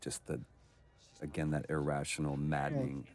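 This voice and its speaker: Very deep gravelly man, casual commentary. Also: little pitch movement, moderate pace. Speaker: director's commentary participant. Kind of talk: casual film-style commentary chat. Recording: faint noise, game audio behind.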